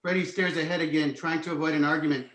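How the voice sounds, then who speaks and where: A man's voice: on the high side, steady tone, quick pace, fairly bright tone. A man narrating a screenplay's stage directions as scripted reading, recorded in an echoey room.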